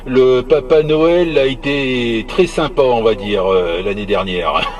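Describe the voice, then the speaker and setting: A man's voice: mid-range voice, slow, lo-fi sound. A man chatting casually over CB radio as a hobbyist, searching for words and repeating himself.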